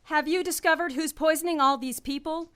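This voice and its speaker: A woman's voice: high-pitched, fairly even intonation, brisk, fairly bright tone, a little hiss or hum. An actress playing an earnest, anxious young woman companion in a radio drama. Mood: worried. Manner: earnest and worried.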